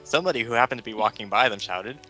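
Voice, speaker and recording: A man with a mid-pitched, bright voice reads the small side parts and cuts in with dry, teasing remarks. Soft classical music sits in the background. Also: racing. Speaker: reader of side characters. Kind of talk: casual group reading. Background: faint classical music.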